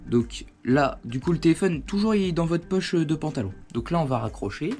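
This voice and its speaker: A man's voice: a little high, expressive, a little fast, darkish tone, faint music behind. A man giving a casual, hands-on product demonstration at home, explaining things in an informal, conversational way. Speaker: host of a motorcycle gear review channel. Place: indoors at home.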